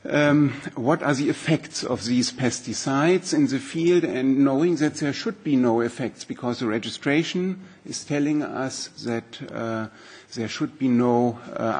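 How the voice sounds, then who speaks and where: German-accented man presenting research, slightly husky. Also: mid-range voice. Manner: measured, explanatory, with frequent um and uh. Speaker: expert speaker. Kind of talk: formal presentation with slides. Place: parliament hearing room.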